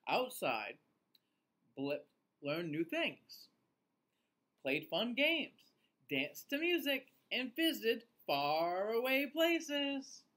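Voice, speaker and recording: Very slow, high-pitched animated male storyteller. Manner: animated, exaggerated, drawn-out delivery with gasps. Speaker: teacher reading aloud to children. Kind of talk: children's picture-book read-aloud. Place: small indoor room, seated facing camera.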